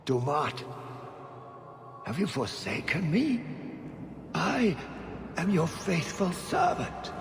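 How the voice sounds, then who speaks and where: Slow, booming ancient corrupted magister. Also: male voice, big pitch swings, gravelly, heavily acted. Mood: bewildered anguish. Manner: commanding, grandiose, archaic, drawn-out oratory. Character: ancient corrupted magister-monster, imperious, bewildered, fanatical. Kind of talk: dramatic fantasy video game acting. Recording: long cavernous reverb, music underneath.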